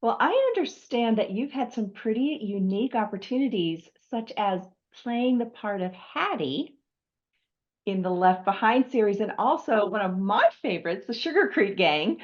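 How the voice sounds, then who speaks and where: Muffled, band-limited audio. Middle-aged woman interviewer, medium pitch, enthusiastic and appreciative, conversational delivery. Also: lively intonation, moderate pace. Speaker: interviewer, host.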